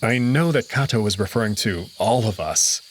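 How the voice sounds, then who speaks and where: Male narrator, low-pitched, expressive pitch, dramatic first-person storytelling. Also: moderate pace, slight background noise. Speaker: narrator of a visual novel.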